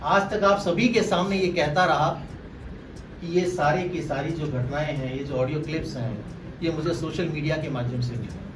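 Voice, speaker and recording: A man with a slightly high voice speaks to the press in a deliberate, emphatic way, repeating key phrases for stress. He is picked up from some distance in an echoey room, with clear noise around him. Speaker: former political aide making a public statement. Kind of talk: press conference statement.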